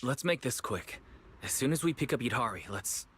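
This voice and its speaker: A man's voice: mid-range voice, animated, normal speed, noisy background, natural voice. A man playing an earnest, easygoing young leader in a video game, speaking in a sincere, natural way. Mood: resolute. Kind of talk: scripted game voice acting.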